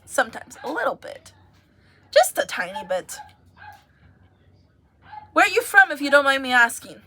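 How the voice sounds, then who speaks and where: A woman's voice: sits high, huge pitch swings, moderate pace, bright, forceful tone. A young woman from the United States, chatting casually and giggling as she rambles to viewers on a livestream.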